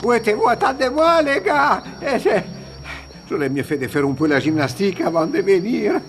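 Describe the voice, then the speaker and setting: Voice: mid-range voice, animated, moderate pace, close to an everyday voice. An actor voicing a greedy, vain, cowardly Italian male fortune-seeker in a cartoon, whining and muttering hammily. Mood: puffed, grumbling. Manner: hammy muttering, whining, chuckles. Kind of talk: broad cartoon comic acting. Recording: hall reverb, music behind.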